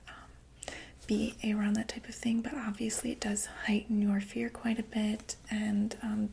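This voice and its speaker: A woman whispers through an ASMR medical roleplay as an attentive, calm doctor. Her breathy delivery is slow and gravelly at the edges, with gentle, hesitant clinical explanations. A light noise sits behind the voice. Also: on the high side. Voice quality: breathy, mostly unvoiced whisper.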